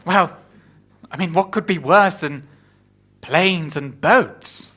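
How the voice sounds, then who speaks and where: A man plays a polite sidekick in a comic radio play. He dithers deferentially in a bright, high voice at a slow pace. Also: exaggerated voice. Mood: polite, hesitant.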